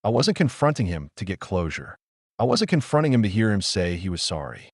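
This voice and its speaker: A man performing a dramatic audio-play monologue as a wounded, solemn, defiant trauma survivor, speaking deliberately and emphatically with dramatic pauses. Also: sits low, very animated, dry recording, studio quality, exaggerated voice. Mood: resolute.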